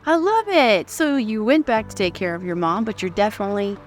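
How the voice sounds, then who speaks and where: Reactive woman interviewer, medium pitch. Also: very animated, brisk, faint music behind. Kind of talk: casual interview chat.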